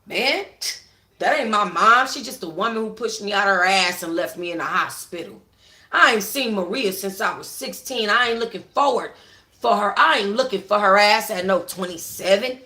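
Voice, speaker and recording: A woman's voice: mid-range voice, moderate pace, pushed and bright, echoey room, natural voice. A woman voicing a street-tough, defensive young man with blunt, emphatic slang, in an audiobook-style story reading.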